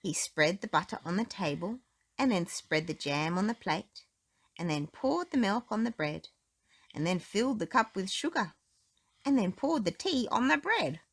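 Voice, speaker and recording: A woman narrating a children's storybook aloud in an expressive style. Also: mid-range voice, normal speed.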